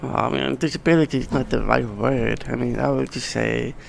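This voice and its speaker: A middle-aged man talking as a podcast guest in casual conversation, blunt, swearing freely, with halting restarts. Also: medium pitch, fairly even intonation, creaky, a little hiss or hum. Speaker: podcast guest, wedding videographer.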